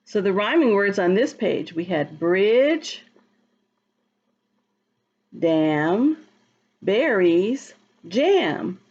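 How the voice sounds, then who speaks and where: A woman reading a picture book aloud to children in a teacherly, expressive, sing-song way, explaining and asking questions as she goes. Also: medium pitch, very slow, pauses often, dull audio. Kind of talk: read-aloud storytime with asides to children.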